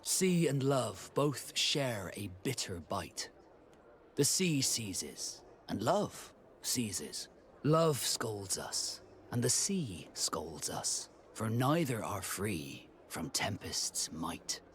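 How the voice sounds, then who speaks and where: An actor playing a proud, loyal sea captain with a romantic, guarded streak in a video game, speaking in a husky, measured, formal way. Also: male voice, lowish voice, big pitch swings, a bit bright, slight background noise, full character voice. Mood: tender, wistful.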